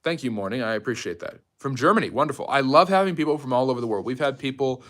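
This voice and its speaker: A man's voice: mid-range voice, expressive, fast talker, a bit bright. A man hosting a live stream, talking casually and informally like a history teacher taking questions from viewers. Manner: chatty, jumps between topics, reads out and answers viewer comments.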